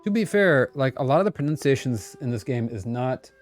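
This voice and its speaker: Animated male game streamer, chatty. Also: medium pitch, a little fast. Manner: rambling, self-correcting, chatty. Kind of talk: casual live-stream commentary and dialogue reading. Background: game music.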